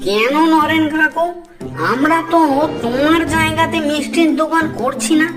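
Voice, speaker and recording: A woman's voice: high voice, moderate pace, lightly acted. A woman voicing an eager, stubborn young village boy in a Bengali moral-story cartoon, polite and persuasive. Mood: insistent. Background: music.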